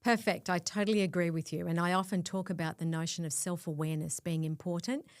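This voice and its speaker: A woman's voice: on the low side, fairly even intonation, fast talker, no room sound. Welcoming woman podcast host, dry sound. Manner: welcoming, affirming. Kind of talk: interview hosting.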